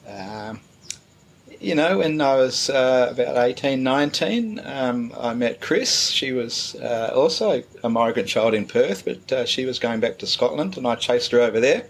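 A middle-aged man, a pastor, answering interview questions in a relaxed, hesitant way, with many fillers and pauses. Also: medium pitch, slight background noise.

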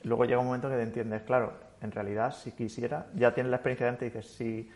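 A man's voice: medium pitch, fairly even intonation, quick pace, a little mellow. Reflective Spanish-speaking man, rambling. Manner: thoughtful, rambling with many restarts. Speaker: guest. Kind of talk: casual interview chat.

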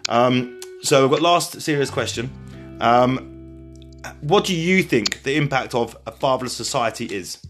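A man's voice: mid-range voice, animated, measured pace, a bit bright, soft background music. A man hosting a podcast interview, agreeable and encouraging, rambling as he talks. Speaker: podcast host. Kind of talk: casual interview chat.

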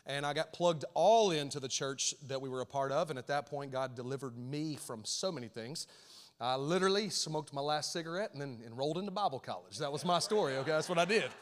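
A man in his thirties with an Alabama accent, a guest pastor opening his sermon with folksy, animated talk to the congregation. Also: fairly high pitch, fairly quick. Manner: folksy, animated, repeats words, rallies the crowd. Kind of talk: sermon opening, casual and energetic. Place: large church auditorium. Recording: long reverb of a large hall.

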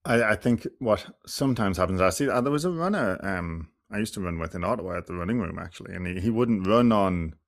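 Deep voiced guy hosting a podcast, thinks out loud, lots of ums. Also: expressive, fast, few pauses. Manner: thoughtful, rambling, many fillers and restarts. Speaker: podcast host and clinician. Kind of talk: casual podcast conversation.

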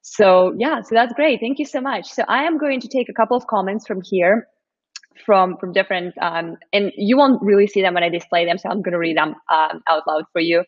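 A woman's voice: mid-range voice, a little fast. Moscow-raised woman hosting over dull audio. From Russia, Moscow. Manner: upbeat, encouraging, many fillers. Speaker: host. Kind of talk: live-stream interview hosting.

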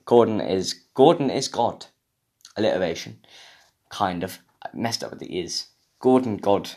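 A man's voice: on the low side, a little slow, vocal fry, a little mellow. A young British man hosting a podcast, rambling through a casual comedic rant, sweary and mock-indignant. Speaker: podcast host.

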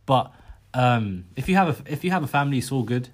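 Young man, podcast host, rambling, casual chat, mid pitch. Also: animated, fast. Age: young adult. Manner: rambling, repeats himself, laughs easily. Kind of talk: casual chat among friends.

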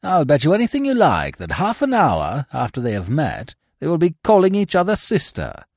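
Man as a pompous, irritable young gentleman, very animated. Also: fairly high pitch, moderate pace, no room sound, clean studio sound, voice pushed up, heavily acted.

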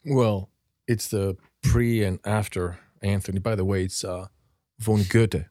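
Low-voiced man. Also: fairly even intonation, a little slow, mellow, dry recording, clean studio sound. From Greece. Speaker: podcast co-host. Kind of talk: reflective personal discussion.

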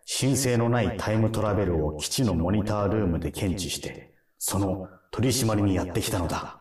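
An actor in a radio drama playing a gruff, cocky time-patrol officer, rough and condescending, easily irritated. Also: male voice, fairly low pitch, fairly quick, a little mellow. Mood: wry narration. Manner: condescending, rough interrogator talk.

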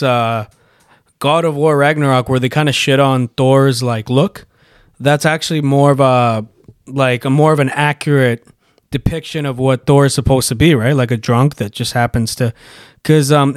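A man's voice: mid-range voice, fairly even intonation, moderate pace, clear, resonant tone, dry recording, clean studio sound. A man from Canada hosting a podcast in casual chat, rambling and profane, with lots of fillers. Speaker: podcast host.

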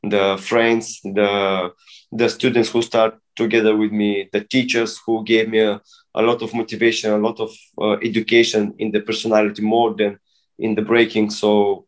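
Man in his thirties, halting English. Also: fairly low pitch, level tone, rough recording. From Bulgaria. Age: 30s. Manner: halting non-native English with many restarts. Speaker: guest breakdancer. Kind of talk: casual interview.

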